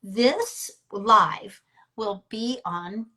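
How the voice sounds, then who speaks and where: A woman's voice: medium pitch, expressive, very slow, pushed and bright. A woman hosting a live online interview in a conversational style, speaking as a storyteller and speaking coach.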